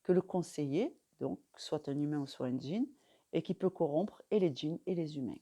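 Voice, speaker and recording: A woman teaching a religious study method in French, speaking calmly and measuredly, with frequent pauses and fillers. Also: low-pitched, husky. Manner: calm, measured, pausing often with fillers. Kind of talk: instructional talk to camera.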